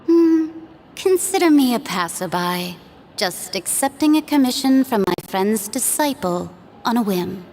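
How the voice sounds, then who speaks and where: A slow, mysterious guiding woman. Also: medium pitch, slight background noise. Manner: unhurried, softly teasing. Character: calm, playful mysterious woman guide. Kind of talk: video game voice acting. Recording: long hall reverb.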